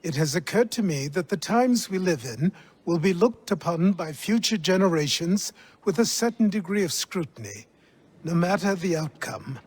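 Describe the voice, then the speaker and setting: An actor playing a stern, learned elderly mentor in a video game, with a formal, wordy, dry manner. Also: male voice, sits high, animated, moderate pace, slightly rough. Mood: measured, dry.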